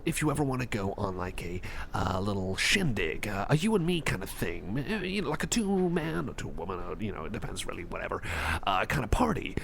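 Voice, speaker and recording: A man's voice: lowish voice, gravelly, fairly bright tone, slight background noise, full character voice. A man playing an eager, smitten oddball in an ASMR roleplay, rambling and repeating himself with hesitant stammers and breaking into whispers. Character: eager, rambling, awkwardly smitten male oddball with mechanical parts.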